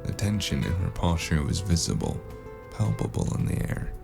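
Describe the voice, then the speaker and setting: A man narrating a noir audio drama as the lead, dry and wry, with a deadpan manner, over a music score. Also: low-pitched, normal speed, gravelly. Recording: music score playing underneath.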